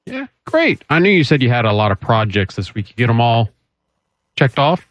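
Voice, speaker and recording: A man's voice: mid-range voice, normal speed, no room sound. A man hosting a call-in radio show, joking easily with his crew before he turns to the callers. His voice sounds dry and close, with a slightly muffled, lo-fi radio quality. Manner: relaxed, joking banter with crew.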